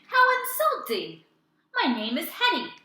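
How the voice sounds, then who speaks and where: Woman voicing a furious, high-pitched lady monster. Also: wildly swooping pitch, measured pace, pushed and bright, roomy sound, exaggerated voice. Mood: indignant, insulted. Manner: indignant, exclaiming. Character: furious female monster, indignant and insulted. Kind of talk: children's picture-book read-aloud.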